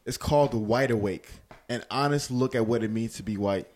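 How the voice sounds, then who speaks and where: A man co-hosting a casual podcast chat, agreeable and quick to laugh. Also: mid-range voice, a little mellow. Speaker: podcast co-host.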